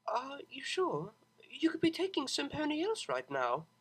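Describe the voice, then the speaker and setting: A woman's voice: high-pitched, wildly swooping pitch, measured pace, voice pushed up, full character voice. A woman voicing a young, refined pony mare, a defensive daughter who pleads emphatically and gets flustered. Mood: surprised, insistent.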